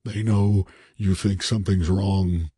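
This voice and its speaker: A man voicing a terrified, half-mad character in an audiobook, hoarse and strained, pleading and urgent as he gives his warnings. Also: low voice, fairly even intonation, slow pace, mellow, no echo, clean studio sound, exaggerated voice. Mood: fearful warning.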